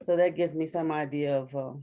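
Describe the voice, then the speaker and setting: A woman's voice: low-pitched, steady tone, brisk, dark tone. A woman speaking as a stage actress in an interview, chatting casually in a playful, jokey way. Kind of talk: casual chat.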